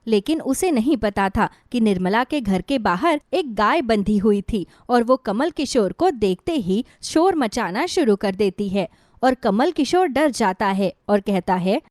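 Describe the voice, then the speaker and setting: A woman's voice: fairly high pitch, animated, unhurried, a little mellow, no room sound, clean studio sound. A woman narrating a scripted moral bedtime story.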